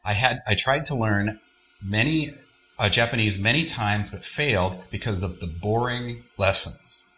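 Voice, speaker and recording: Male co-host, low-ish bright voice, easygoing, reads listener mail. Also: measured pace, slight background noise, rough recording. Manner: relaxed, reads letters aloud with small stumbles. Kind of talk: casual language-lesson chat.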